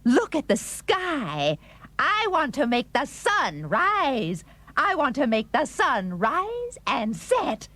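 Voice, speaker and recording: A woman's voice: high voice, wildly swooping pitch, slow, bright, forceful tone, no echo, exaggerated voice. A woman playing a demanding, imperious wife and emperor in a dramatised folk tale, bossy and insistent. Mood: excited, demanding.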